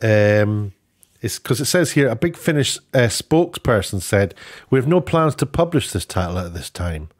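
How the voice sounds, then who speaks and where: Low-voiced man giving rambling commentary. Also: lively intonation, moderate pace. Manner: rambling, opinionated, often rephrasing himself. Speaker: online video presenter. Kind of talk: off-the-cuff news commentary.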